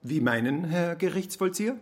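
A man's voice: a little high, animated, normal speed, close to an everyday voice. A man playing a smug, obtuse young accounts clerk in a satirical radio play, formal and mock-servile. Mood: unruffled, polite.

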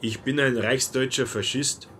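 An actor playing a naive, stubborn petty-bourgeois traveller with a dry manner in a radio drama. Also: male voice, medium pitch, steady tone, unhurried, fairly bright tone, slight background noise, lightly acted.

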